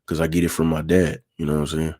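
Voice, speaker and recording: A young man with a raspy voice, talking in a laid-back, smooth way and repeating himself as he hosts a casual livestream. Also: rumbling low, little pitch movement, fast, darkish tone. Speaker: livestream host.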